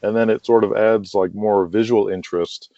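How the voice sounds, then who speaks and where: A man speaking as a guest in a casual conversational interview, chatty and quick to laugh, on muffled lo-fi audio. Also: on the low side, fairly even intonation, dark tone, dry recording. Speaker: podcast guest, wrestling promoter.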